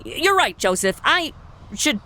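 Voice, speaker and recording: A woman's voice: sits high, very animated, unhurried, sharp tone, full character voice. A woman playing a brash, flippant young angel trainee with sassy modern slang in an audio comedy. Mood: flippant, wry. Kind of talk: comedy audio drama acting.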